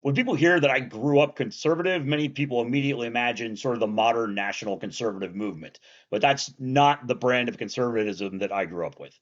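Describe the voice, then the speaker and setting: Earnest guy from south central pennsylvania, measured reflective commentary, kinda muffled audio. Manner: earnest, measured, trails off low at line ends. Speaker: commentator on law and politics.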